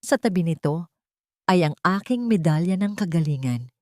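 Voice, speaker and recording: A woman's voice: on the low side, lively intonation, moderate pace, a little mellow, no echo, studio quality. A woman narrating a scripted first-person dramatic story as an audiobook narrator.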